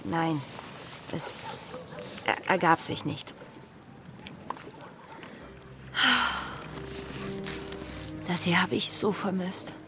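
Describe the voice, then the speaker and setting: A woman playing a spirited, impulsive young plantation daughter in a radio play, breathy and intense. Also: on the low side, steady tone, slow pace. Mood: hesitant, confiding. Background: music.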